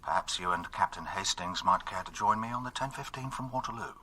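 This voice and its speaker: Low-voiced English chief inspector. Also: male voice, sharp tone. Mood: brisk. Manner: brisk, matter-of-fact. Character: brisk, matter-of-fact English police chief inspector. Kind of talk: radio drama acting.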